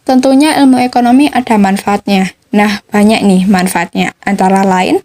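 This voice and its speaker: A woman's voice: on the high side, a little mellow. A teenage young woman, presenting scripted educational material as a student podcaster. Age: teens, high school student.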